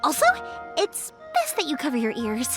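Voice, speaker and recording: A woman's voice: high voice, huge pitch swings, normal speed, loud music behind the voice. A woman voicing a cheerful, breezy, reckless young fireworks maker in a video game cutscene. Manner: breezy, giggly, exclamatory.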